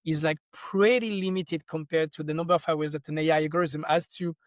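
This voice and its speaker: A man's voice: fairly high pitch, fairly even intonation, a little fast, no echo, clean studio sound. A middle-aged man from France with a French accent, talking casually and thoughtfully as a guest in an interview. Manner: thoughtful, rambling, with frequent restarts. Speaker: podcast guest, tech company founder.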